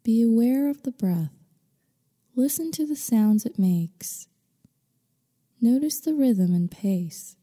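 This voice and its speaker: Woman, extremely mellow dark tone, gentle meditation guide, slow scripted delivery, long echoing reverb. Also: on the high side, lively intonation, breathy edge. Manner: soothing, unhurried, gentle instruction. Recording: long reverberant hall-like echo.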